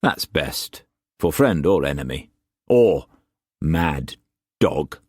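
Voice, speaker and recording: A steely merchant captain, read by a man, calm and cold. His voice sits deep and unhurried, every word measured. The recording is dry and close. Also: breathy edge, no room sound, full character voice.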